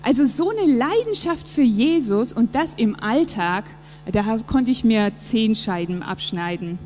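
A woman's voice: a little high, expressive, measured pace, a little mellow. A woman in her thirties, from Japan, speaking reflectively and earnestly in a church service interview, with some fillers. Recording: long reverb with faint music behind.

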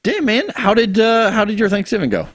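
Male host, raspy voice, easygoing chatter, dry close mic. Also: high voice, sing-song, fairly quick, fairly bright tone, dry recording. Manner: laid-back, chatty, swears casually. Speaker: show host. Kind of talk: casual live-stream chat.

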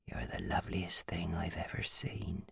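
A brutal, possessive man from an audiobook drama, acted out by a woman reader. He is hoarse and gravelly and full of menace, able to bark a demand or hiss it in a harsh murmur. The sound is close and dry. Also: extremely low pitch, big pitch swings, moderate pace, no room sound, voice pushed down, full character voice. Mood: menacing murmur. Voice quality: hoarse harsh whisper, sudden barks. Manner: menacing, swings between barking and murmuring. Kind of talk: audiobook character acting.

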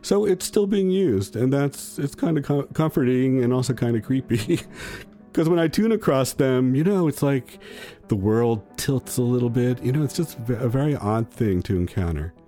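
A man's voice: medium pitch, expressive, moderate pace, mellow, faint music behind. A man talking casually as an interviewed radio producer.

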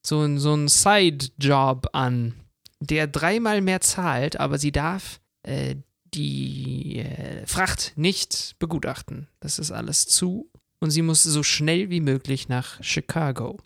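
A man with a fairly high, distinctly creaky voice chats casually as a podcast co-host. The sound is dry and close, and his phrasing is loose and colloquial. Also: slow pace, fairly bright tone, dry recording. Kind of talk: casual chat.

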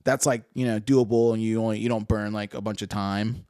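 A man's voice: fairly low pitch, fairly even intonation, a little fast, darkish tone, no room sound, clean studio sound. A man in his late thirties hosting a casual chat, rambling, laughing and swearing freely. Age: 30s. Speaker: podcast host. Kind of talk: casual chat about moped rallies.

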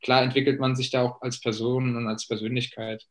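Even-toned man in his late twenties. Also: mid-range voice, a little fast. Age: late 20s. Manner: even, thoughtful, many fillers and restarts. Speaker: professional footballer. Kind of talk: answering fan questions in a video call. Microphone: video call.

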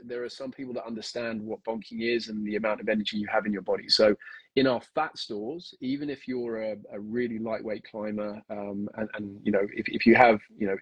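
A lower-pitched man from Kenya with a mixed British-Australian accent, explaining at length as a guest coach in a relaxed interview. His voice is a bit husky and very dry, with no room around it. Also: a little fast, no room sound, muffled recording. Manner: explanatory, many ums and restarts. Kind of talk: casual interview.

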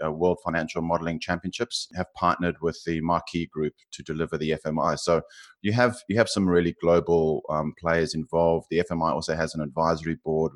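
South African man, very low voice, forties, guest in an interview, halting, lots of ums and restarts. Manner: thoughtful, hesitant with many fillers and restarts. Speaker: guest, finance professional. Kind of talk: podcast interview.

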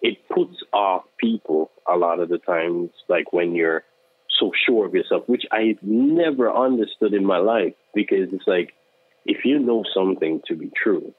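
A deep-voiced man talks in an earnest, self-assured way, with lots of fillers. He comes through a tinny, phone-like line. The sound is dry, with no room echo. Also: normal speed. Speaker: guest, social worker. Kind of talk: casual podcast chat.

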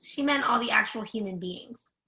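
A woman's voice: a little high, moderate pace, gravelly, bright, forceful tone, low-quality recording. A woman playing a sardonic, wisecracking model hopeful in a stage play reading, with a dry, sarcastic delivery. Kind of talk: readers theatre play reading.

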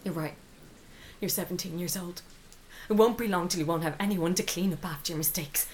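A woman's voice: on the low side, a little fast, darkish tone, lightly acted. Stern Irish mother played by an actress, noisy background. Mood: furious. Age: middle-aged. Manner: scolding, emphatic, commanding. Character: stern, exasperated mother of a rebellious teenage daughter. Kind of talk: radio drama acting.

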